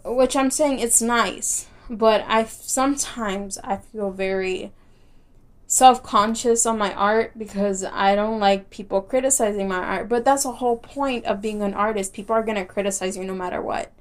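A woman's voice: medium pitch, moderate pace. A young woman hosting her own podcast, speaking with a light Texas country accent. She rambles in a casual, chatty way, laughing easily and circling back with plenty of fillers and restarts. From Texas, United States. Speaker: podcast host. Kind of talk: casual solo podcast chat.

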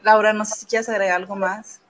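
A woman hosts a casual chat, affirming her guest with emotion. Her voice is bright and forceful. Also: mid-range voice, flat delivery, brisk. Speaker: host.

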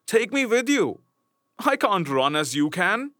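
A persistent talking frog from a read-aloud fairy tale, as a male narrator plays him. He bargains in a coaxing, polite way with a bright, forward tone. The sound is close and dry. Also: high-pitched, sing-song, measured pace, no echo, pitched above the performer's own voice, full character voice. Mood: pleading.

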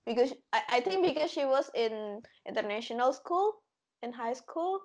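A woman's voice: on the high side, expressive, normal speed, a little mellow. A young woman from Indonesia speaking English with a Javanese accent in casual chat, hesitant and laughing often. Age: young adult.

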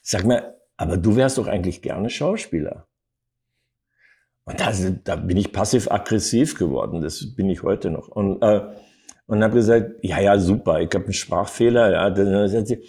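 An older man telling anecdotes as an interview guest, in an animated storytelling manner with frequent little tag words. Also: on the low side, normal speed. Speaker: acting coach and interview guest.

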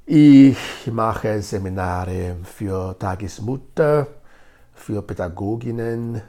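Low, creaky-voiced middle-aged man, native Edo speaker. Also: takes it slowly, warm tone. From Nigeria. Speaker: interview guest, integration project leader. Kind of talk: conversational interview answers.

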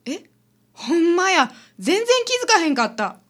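Female actor as inquisitive tenant, high, swooping intonation, blunt, Kansai accent, radio drama. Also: big pitch swings, normal speed, fairly bright tone, full character voice. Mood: surprised. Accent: Kansai Japanese.

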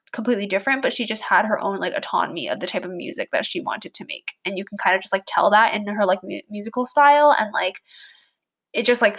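A woman's voice: mid-range voice, creaky, fairly bright tone. A young woman in her twenties hosting a casual podcast, chatty with lots of fillers and restarts, her voice stuffy and congested. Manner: chatty, heavy use of "like", many restarts. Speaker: podcast host.

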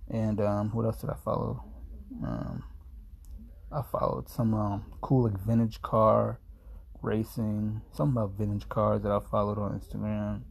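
A man's voice: lowish voice, flat delivery, unhurried, creaky, breathy edge. A man in his twenties talking to camera in a laid-back, meandering, self-mocking way, sounding sleepy. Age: 20s. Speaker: vlogger. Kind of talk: rambling casual monologue to camera.

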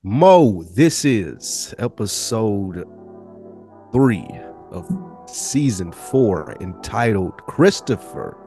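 A man's voice: fairly low pitch, very animated, drawn-out words, dark tone, soft background music. A man co-hosting a podcast, giving a casual recap. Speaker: podcast co-host.

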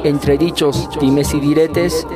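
A man with a Cusco Andean Peruvian accent narrates a scripted radio segment. His voice sits a little high for a man, and loud music plays all around it. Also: steady tone, takes it slowly. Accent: Peruvian Andean Spanish, Cusco. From Cusco, Peru. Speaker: segment presenter.

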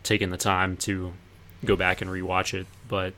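A man's voice: deep voice, completely flat delivery, moderate pace, bright, forceful tone. A man co-hosting a podcast, talking casually and conversationally. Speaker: podcast co-host. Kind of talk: casual chat about anime.

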